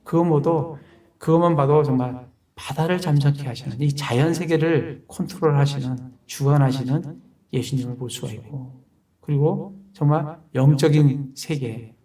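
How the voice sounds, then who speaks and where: A man's voice: medium pitch, dark tone, recorded from a distance. A man preaching a sermon as pastor, explaining a passage verse by verse and asking rhetorical questions.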